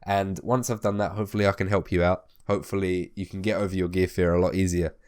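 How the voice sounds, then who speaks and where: Low, smooth-voiced man, a little hoarse. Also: flat delivery, quick pace, darkish tone. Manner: chatty, repetitive, swears casually. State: hoarse, recovering from losing his voice. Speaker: gaming video host. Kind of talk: casual spoken game tips.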